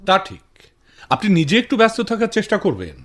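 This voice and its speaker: A polite, advising relative in a radio play. His voice is a little high for a man, with animated intonation. He sounds courteous throughout. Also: fairly quick, full character voice. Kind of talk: Bengali radio drama acting.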